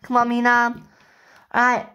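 Woman voicing an eager, bright-voiced little girl director. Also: a little high, completely flat delivery, unhurried, smooth, muffled recording. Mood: eager, directing.

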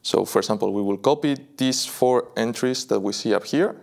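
Young man demonstrating software. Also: fairly low pitch, normal speed, vocal fry. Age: young adult. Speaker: software product presenter. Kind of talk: live software demo walkthrough. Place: indoor video studio.

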